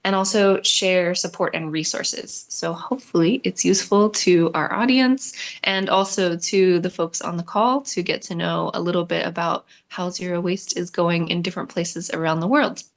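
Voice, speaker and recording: A woman hosts an online panel over a video call. Her voice sits a little low. She talks in a relaxed, conversational way, with plenty of "um"s. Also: normal speed. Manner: relaxed, conversational, many "um" fillers. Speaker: host and moderator.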